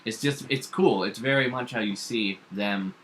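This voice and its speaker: A man with a fairly low voice and a bright, forceful edge co-hosts an informal pop culture talk. He rambles through his opinions with emphasis. Some background noise and room ambience sit behind him. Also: moderate pace. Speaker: podcast co-host. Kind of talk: casual opinionated chat about pop culture.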